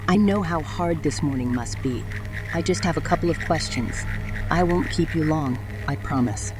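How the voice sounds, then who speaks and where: A woman's voice: sits low, moderate pace, natural voice. A woman playing a determined, persistent female reporter in a sci-fi audio drama. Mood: probing, polite. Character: determined, fearless young female reporter on Mars. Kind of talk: audio drama acting and narration. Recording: voice over loud music.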